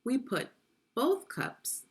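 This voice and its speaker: Woman, middle-aged, unhurried pace, slightly breathy, deliberate teacherly read-aloud, questioning delivery. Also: high voice, very animated. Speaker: education consultant reading a children's book aloud. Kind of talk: instructional read-aloud for young children.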